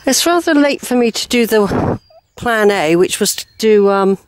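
A woman's voice: mid-range voice, expressive, measured pace. Chatty English woman on a walking vlog. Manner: chatty, rambling, self-correcting. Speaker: walker filming a hike vlog. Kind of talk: casual unscripted walking commentary. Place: outdoors on a village lane. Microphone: handheld camera or phone mic. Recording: handheld outdoor recording, faint noise, clicks.

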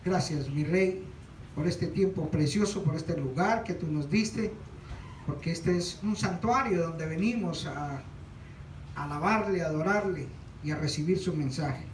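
A man's voice: fairly high pitch, measured pace, a little hiss or hum. A middle-aged man speaking earnestly to a small church congregation before the offering, reading scripture aloud. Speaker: church leader introducing the offering. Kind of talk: church exhortation. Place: small church stage, indoors. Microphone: handheld mic from a far camera. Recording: echoey room, far from the mic.

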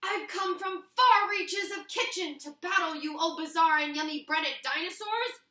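A woman's voice: extremely high pitch, moderate pace, bright, forceful tone, pitched above the performer's own voice. A woman voicing a boastful female scissors warrior in a storybook, grand, theatrical and eager for battle. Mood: bold. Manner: theatrical, declamatory. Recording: roomy indoor echo.

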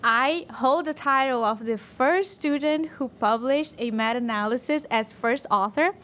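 High-voiced young woman chatting informally. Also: a little slow, a bit bright. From Brazil. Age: 20s. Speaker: podcast guest, physician and researcher. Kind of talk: casual podcast chat. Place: podcast studio, indoors. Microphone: desk microphone on boom arm.

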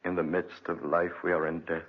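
A muffled old radio drama recording carries a low, husky male voice. a brooding avenger driven mad by grief, speaking with grave, theatrical intensity. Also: monotone, normal speed. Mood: mournful. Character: vengeful, grief-maddened man, escaped debtor turned obsessive avenger. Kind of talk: 1940s radio drama acting.

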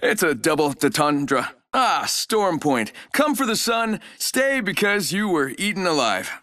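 An actor playing a cocky, wisecracking trickster in a video game, jokey and showy. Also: male voice, sits high, very animated, a little slow, pushed and bright, dry recording, studio quality, full character voice. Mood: cocky joking.

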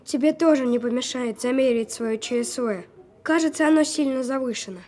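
A woman voicing a skeptical, sharp-tongued sick young boy in an audio drama, precocious and needling. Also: high voice, brisk, natural voice.

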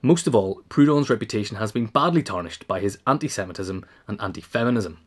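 Guy with a lowish voice reading out a written essay. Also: expressive, quick pace. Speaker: reader. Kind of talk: scripted narration, reading a biographical essay.

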